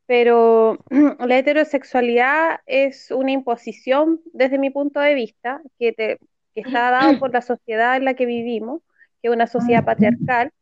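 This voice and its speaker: A Chilean woman in her forties explains her views thoughtfully, often repeating words as she searches. Her voice is high. The sound is dry, close and dull. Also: fairly even intonation, moderate pace, dry recording. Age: 40s. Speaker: podcast guest, activist. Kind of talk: casual discussion.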